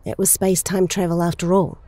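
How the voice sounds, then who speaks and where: A woman's voice: on the low side, flat delivery, fairly quick, warm tone, dry recording, lightly acted. An actress playing a wry, sensible teenage girl hero in an audio drama.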